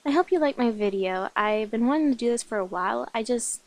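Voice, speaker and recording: A woman's voice: a little high, animated, fairly quick. A woman giving a casual, upbeat update to viewers as the host of her own roleplay channel.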